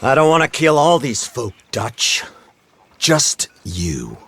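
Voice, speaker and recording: An actor playing a stern, haughty American detective in a video game, formal, condescending and menacing. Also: male voice, medium pitch, huge pitch swings, slow pace, creaky, a bit bright, a little hiss or hum, exaggerated voice. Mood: threatening. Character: stern, haughty Pinkerton detective hunting outlaws. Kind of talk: dramatic video game acting.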